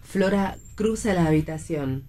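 A woman's voice: lowish voice, lively intonation, measured pace, warm tone. A woman narrator reading the stage directions of a play in scripted narration.

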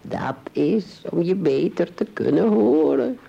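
A man's voice: sits high, animated, slow, creaky, mellow, husky, highs cut off, heavily acted. A man playing a sly, hungry big bad wolf in a fairy tale radio play, wheedling and drawn out. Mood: sweetly deceitful.

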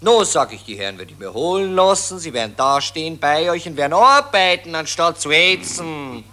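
A smug, harsh Viennese officer in a radio play, drawling and boasting. His voice is bright and lively, rising and falling freely as he brags. The tone stays cocky throughout. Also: a little high, moderate pace.

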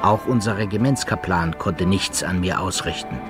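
An actor playing a roguish, godless musketeer in a German radio drama, with a husky voice and archaic phrasing. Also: male voice, sits low, moderate pace, music in the background, close to an everyday voice. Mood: reflective, confessional. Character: roguish, godless musketeer recounting his wretched life. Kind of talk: German radio drama acting, archaic first-person narration.

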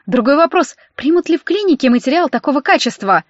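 A bold, playful young woman with a high, sing-song voice, put on by a woman narrator. She exclaims perkily in an audiobook, recorded dry and a little dull. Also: sing-song, fast talker, no room sound. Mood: gleeful.

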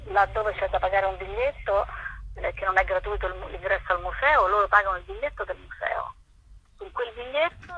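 A woman's voice: medium pitch, gravelly, husky. A talkative woman explaining at length in a casual interview, speaking over a dull, lo-fi phone line. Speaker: arts programme organiser being interviewed.

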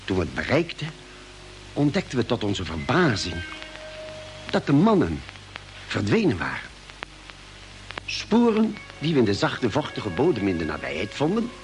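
An actor playing an expedition member who solemnly narrates a space journey in formal old Dutch radio drama style. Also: male voice, mid-range voice, poor audio. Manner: formal, measured storytelling.

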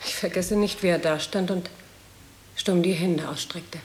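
An actress playing an earnest, troubled woman comforting a haunted man in a radio play, with solemn, deliberate delivery. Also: on the low side, fairly even intonation, a little hiss or hum, lightly acted. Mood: solemn remembering. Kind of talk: German radio play acting. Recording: echoey, reverberant radio drama studio.

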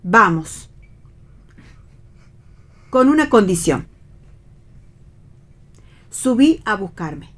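A woman's voice: mid-range voice, very animated, drawn-out words, pauses often, fairly bright tone, exaggerated voice. A woman with an Argentine accent playing a wistful, indecisive young wife in a radio theatre reading. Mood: insistent, tender. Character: Flora, indecisive wife, tender, wistful, quietly defiant. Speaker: actor in a radio play reading.

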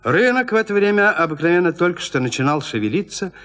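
A man with a fairly high voice narrates classic literature. His delivery is theatrical and very animated, rising and falling freely as he tells the tale. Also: fast talker, in a large hall. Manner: expressive storytelling. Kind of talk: dramatic literary audiobook narration.

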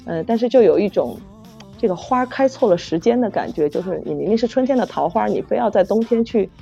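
Woman guest, lo-fi sound, animated intonation, self-deprecating and giggly, halting casual talk. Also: medium pitch, creaky. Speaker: novel author, guest. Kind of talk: casual unscripted chat. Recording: muffled lo-fi audio, music behind. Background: saxophone background music.

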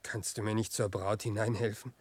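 An actor playing a hapless, gullible bridegroom in a radio play, coaxing in a hushed voice. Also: male voice, lowish voice, flat delivery, moderate pace, breathy edge, no echo. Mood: pleading. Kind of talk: theatrical radio play acting. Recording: radio drama with string music underneath.

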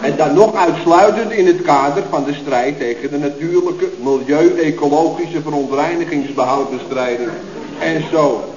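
Actor as slangy young lad, highish voice. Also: male voice, normal speed, a little mellow, natural voice. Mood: defensive. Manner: slangy, casual, rambling jargon. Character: slangy young idealist lad, naively muddled activist. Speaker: actor in a comedy radio serial. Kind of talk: late 1960s Dutch radio comedy acting. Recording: roomy, dull old radio recording.

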